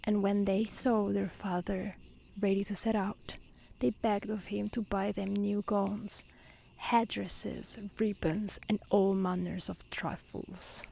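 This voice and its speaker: A woman softly reading a fairy tale aloud in a gentle, hushed ASMR style that often slips toward a whisper. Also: medium pitch, little pitch movement, slow, vocal fry, poor audio. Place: outdoors in nature. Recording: faint outdoor hiss with crickets and insects.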